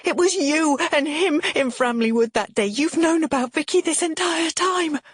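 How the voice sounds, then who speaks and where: A woman's voice: high-pitched, expressive, normal speed, no echo, studio quality, voice pushed up. A woman playing a distraught, furious heroine in an audiobook, accusing and pleading in an emotional raised voice. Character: distraught, accusing woman confronting her lover, furious and tearful.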